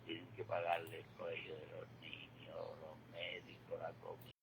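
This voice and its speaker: An older man giving a reflective radio monologue, halting and repeating words, with rhetorical questions. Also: sits low, completely flat delivery. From Spain. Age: 60s or older. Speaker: retired regular contributor giving commentary. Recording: clearly noisy radio feed.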